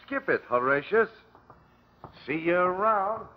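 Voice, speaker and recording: Male for flippant shady adventurer, slangy tough talk, high lively voice, old radio drama. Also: slow pace, lo-fi sound. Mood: defiant. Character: cocky wisecracking shady male treasure hunter.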